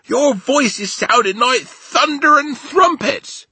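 A man voicing a gentle, excitable storybook giant who fusses and speaks in quirky, ungrammatical phrases. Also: fairly high pitch, measured pace, husky, dry recording, pitched above the performer's own voice, exaggerated voice. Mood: pained, pleading. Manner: quirky ungrammatical speech with invented words. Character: big friendly giant, male, gentle, excitable, fussy about his ears.

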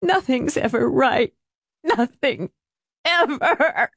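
Casting: woman as defeated weeping mother, moaning, high tearful delivery for audiobook drama. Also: animated, slow pace, a bit bright, dry recording, clean studio sound, heavily acted. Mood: sobbing despair. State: crying.

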